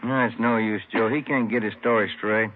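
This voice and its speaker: Male actor as earnest detective partner, slightly low voice, prone to exasperation, 1950s radio drama, tinny narrow sound. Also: steady tone, fairly quick, lightly acted. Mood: exasperated. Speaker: supporting actor.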